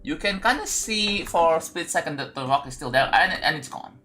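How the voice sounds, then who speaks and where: Bright-voiced Indonesian-accented man. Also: a little high, lively intonation, normal speed, faint music behind. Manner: thinks aloud, talks to chat, many fillers and restarts. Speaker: streamer building a game level. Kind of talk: casual livestream chat while working.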